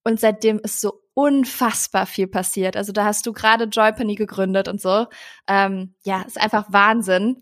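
A woman hosting a podcast, speaking in a friendly, conversational interview style. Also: medium pitch. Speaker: podcast host interviewing a guest.

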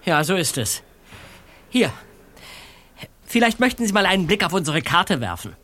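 A man's voice: high-pitched, big pitch swings, normal speed, bright, forceful tone, slight background noise, full character voice. An actor playing a nervous teenage boy detective in a radio play, speaking in a defensive, pleading way. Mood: polite.

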